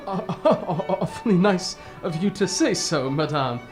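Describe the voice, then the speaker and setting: Radio drama: woman as courteous, flustered older gentleman sidekick. Low, animated, gallant, music faintly behind. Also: slow. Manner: courteous, slightly flustered, old-fashioned.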